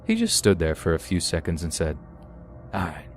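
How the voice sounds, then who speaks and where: A man with a very low voice reads a first-person horror story in a steady, even way. Quiet music plays faintly under him. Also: a little fast. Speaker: narrator.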